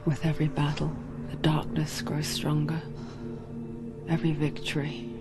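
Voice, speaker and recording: An actress playing an eerie, intimate narrator inside someone's mind in a game, hushed, ominous and knowing. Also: female voice, low voice, completely flat delivery, normal speed, over loud music.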